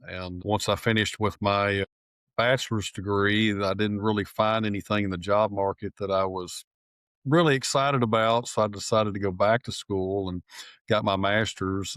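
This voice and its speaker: A middle-aged man from East Texas, speaking as an interview guest. He has a low voice and talks in a plain, easygoing way. The recording is dry. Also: a bit bright, dry recording. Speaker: podcast guest, nursery manager. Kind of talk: casual interview.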